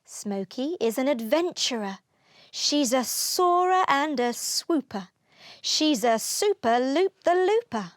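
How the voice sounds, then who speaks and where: A woman narrating a children's storybook aloud, expressively, with deliberate rhythmic delivery of rhyming verse. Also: sits high, very animated, no echo, clean studio sound.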